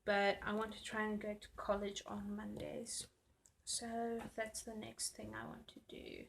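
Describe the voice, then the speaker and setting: Slow chatty woman. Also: mid-range voice, level tone, gravelly. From South Africa. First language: Afrikaans. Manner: chatty, self-deprecating, muttering while working. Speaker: planner vlogger. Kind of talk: rambling chat while planning. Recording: faint background noise.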